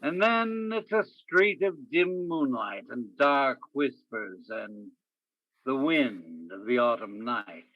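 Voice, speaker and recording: A man's voice: mid-range voice, animated, slow pace, no echo, highs cut off. A man playing a persistent, world-weary hard-boiled detective in a radio crime drama. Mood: brooding. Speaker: stage actor in a radio play. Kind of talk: old-time radio crime drama acting.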